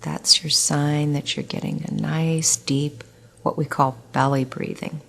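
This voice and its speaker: A slow, low-pitched woman's voice with a breathy, husky edge. She is a middle-aged nurse talking listeners through breathing and muscle relaxation, soft and soothing, often sinking almost to a whisper. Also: level tone. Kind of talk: guided relaxation script.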